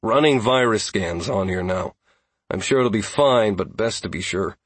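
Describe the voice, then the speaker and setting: Man playing a wry, husky-voiced companion. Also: lowish voice, animated, normal speed, dry recording. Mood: matter-of-fact. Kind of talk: audiobook character dialogue.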